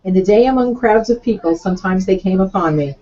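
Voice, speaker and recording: A woman from Brooklyn, a teacher, chatting agreeably and reading a poem in a steady voice over a video call. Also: mid-range voice, fast, lo-fi sound. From Brooklyn, New York. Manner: warmly agreeable, reads poetry steadily. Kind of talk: casual chat and poetry reading.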